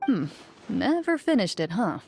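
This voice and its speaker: An actress playing a composed, stern female monster hunter in a video game, speaking in a measured, formal, unruffled way. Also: mid-range voice, big pitch swings, vocal fry, darkish tone, a little hiss or hum. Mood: composed, encouraging.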